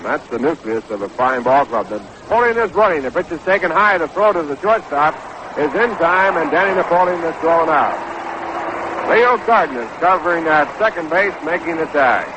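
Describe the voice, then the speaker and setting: A man doing measured, unhurried live baseball play-by-play commentary on a 1960s radio broadcast. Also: on the high side, noisy background. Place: stadium broadcast booth.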